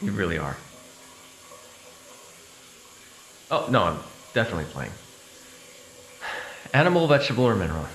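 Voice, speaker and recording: A lowish-voiced male vampire, acted by a man for an intimate audio roleplay. He is protective and sardonic, talking in halting, foul-mouthed bursts broken by sighs. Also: sing-song, fairly bright tone, a little hiss or hum. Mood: wry, amused. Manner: intimate, halting, swearing, sighing. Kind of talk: ASMR audio roleplay acting.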